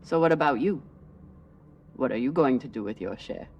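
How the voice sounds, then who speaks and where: Guarded, commanding spaceship officer. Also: female voice, a bass voice, lively intonation, normal speed, a little mellow, breathy edge, far from the mic, muffled recording, full character voice. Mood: dry, teasing. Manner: terse, commanding. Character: tough, guarded female spaceship first officer, Belter scavenger. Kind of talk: video game cutscene acting.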